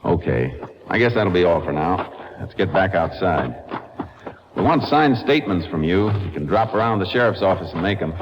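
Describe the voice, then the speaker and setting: Male ranger investigator, low-pitched, calm and matter-of-fact; noisy vintage radio recording. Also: normal speed, dull audio. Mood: businesslike. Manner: terse, polite, matter-of-fact questioning. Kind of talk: old-time radio drama acting and narration.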